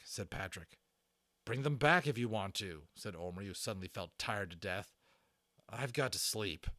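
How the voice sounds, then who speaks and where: A man reads a children's adventure story aloud. His delivery is theatrical and animated, with a medium pitch that swings widely and pauses placed for suspense. The sound is dry, with very little room in it. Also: no room sound. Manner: theatrical, with stressed words and dramatic pauses. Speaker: audiobook narrator and channel host. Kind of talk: dramatic read-aloud storytelling of a children's novel.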